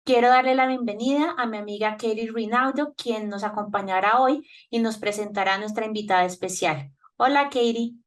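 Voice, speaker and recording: A woman hosting a podcast, reading a clear and measured welcome to her listeners. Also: on the high side, recorded from a distance. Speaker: podcast host.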